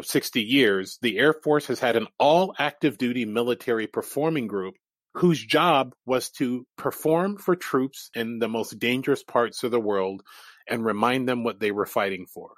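A man's voice: mid-range voice, no room sound. Guy in his 40s, japanese first language, easygoing guest spilling his life story, middle voice, dry close sound. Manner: candid, reflective, laughs easily. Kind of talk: casual interview storytelling.

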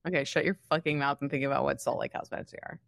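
A woman's voice: very deep voice, steady tone, racing, creaky, no room sound, clean studio sound. A woman co-hosting a podcast in casual comedic chat, with a playful, teasing, wry manner. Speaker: podcast co-host.

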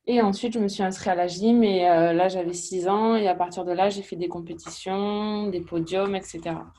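A woman in her thirties with a southwestern Toulouse accent, heard over a video call. Her voice is mellow and warm, and her mid-pitched delivery is lively, full of small hesitations and restarts. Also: normal speed, a little hiss or hum. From southwest France, near Toulouse. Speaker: guest, sports coach. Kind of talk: casual remote interview.

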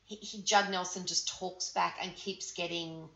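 Outspoken Australian woman, gravelly and low. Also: steady tone, moderate pace, over a noisy crowd. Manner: outspoken, swears freely. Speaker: podcast co-host. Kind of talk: casual chat. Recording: roomy dull-sounding recording.

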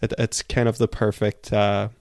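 Low, even-voiced male book podcaster. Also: normal speed, vocal fry, no room sound, studio quality. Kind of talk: thoughtful book discussion.

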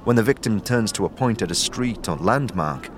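A man's voice: on the low side, animated, moderate pace, noisy background. A man as game master, narrating the scene descriptively in second person. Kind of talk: scripted narration. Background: background music.

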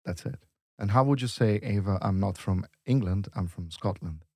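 A man's voice: lowish voice, normal speed, mellow, husky, no room sound, studio quality. A man teaching Portuguese in a lesson dialogue, explaining patiently step by step with examples.

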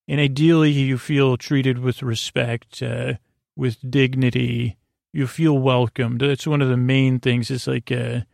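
A man hosting a bedtime podcast with a creaky voice and a lulling, goofy, meandering and halting delivery. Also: medium pitch, no room sound, studio quality. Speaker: podcast host. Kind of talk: rambling bedtime monologue.

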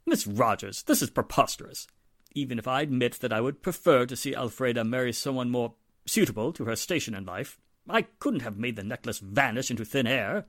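A man's voice: mid-range voice, expressive, a bit bright, dry recording, studio quality, natural voice. An actor playing a haughty, formal, wealthy father in a 1940s-style radio mystery. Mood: indignant, rattled. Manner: formal, pompous, measured. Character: polished, haughty wealthy father, secretly guilty.

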